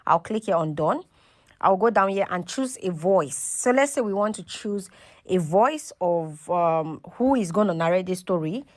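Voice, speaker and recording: A woman's voice: lowish voice, lively intonation, normal speed. Woman giving a casual step-by-step tutorial. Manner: instructional, often checks in with okay and right. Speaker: tutorial host.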